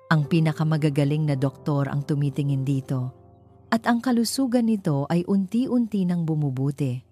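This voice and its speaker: A woman narrates a dramatic love story in a low-pitched voice. Her tone is soft-edged and mellow, and her delivery is measured and sentimental. Faint music plays behind her. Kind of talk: scripted dramatic romance narration. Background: background music.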